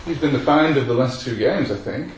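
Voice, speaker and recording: A man's voice: mid-range voice, a little fast. A man with a slight Scottish accent chatting about football, self-deprecating and laughing at himself. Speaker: podcast pundit. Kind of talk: casual football chat. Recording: very echoey, distant from mic, faint noise.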